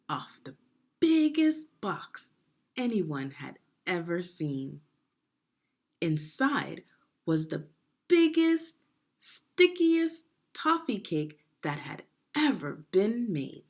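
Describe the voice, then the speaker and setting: A woman reading a children's storybook aloud expressively, in a deliberate, teacherly manner. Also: on the low side, big pitch swings, a little mellow, slightly rough. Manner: deliberate, teacherly, asks the listener questions. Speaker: education consultant reading a children's book.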